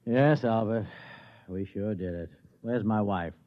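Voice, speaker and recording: An actor playing a weary, resigned man in a 1950s radio drama, giving flat, slow replies. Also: fairly low pitch, natural voice. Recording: dull lo-fi 1950s radio transcription.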